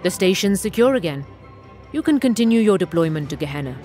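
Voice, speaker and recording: A woman's voice: on the low side, big pitch swings, fast talker, warm tone, soft background music. A woman playing an evasive, imperious corporate station director in a video game, formal and condescending. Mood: brisk, controlled. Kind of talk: video game dramatic acting.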